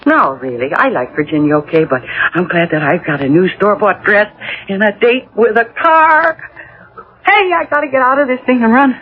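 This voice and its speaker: A woman's voice: mid-range voice, expressive, moderate pace, breathy edge. A moody young wife with a girlish, petulant streak, like a teenager. It is radio drama, heard through a tinny, narrow old broadcast. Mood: chatty, girlish. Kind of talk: 1980 radio drama acting.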